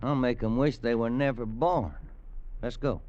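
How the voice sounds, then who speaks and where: An actor playing a capable male state trooper in a 1950s radio drama, with blunt, brisk speech. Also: mid-range voice, steady tone, lightly acted. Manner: brisk, blunt, tough-talking. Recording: dry, dull old radio recording.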